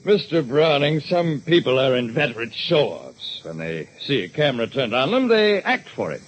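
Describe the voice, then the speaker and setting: Man putting on an emphatic, higher-pitched dismissive executive. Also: very animated, normal speed, lightly acted, low-quality recording. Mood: dismissive, assured. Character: television station executive, male, dismissive and assured. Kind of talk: 1940s radio drama acting. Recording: hissy 1940s radio recording.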